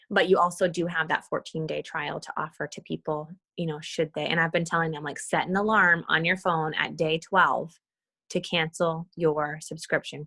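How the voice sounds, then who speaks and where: A woman with a slightly low, creaky voice walks her team through an on-screen task. She speaks casually, thinking out loud as she goes. The sound is dry and close, with little room around it. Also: no room sound. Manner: casual, thinking aloud while demonstrating. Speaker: team leader giving a tutorial. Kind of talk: informal screen-share walkthrough on a group call. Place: video call with screen share.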